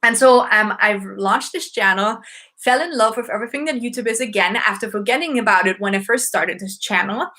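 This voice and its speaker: A woman's voice: on the high side, a little fast, pushed and bright. A woman in her thirties from Austria with an Austrian German accent, chatting casually and bubbly on a home live stream, full of fillers and restarts. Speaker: YouTuber and business owner.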